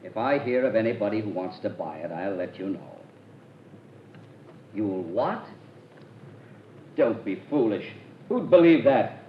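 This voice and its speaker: Curt, lively-toned rich young man; roomy, dull vintage sound with faint rumble. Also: measured pace, long pauses. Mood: defensive. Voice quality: curt and defensive. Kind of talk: 1940s radio acting.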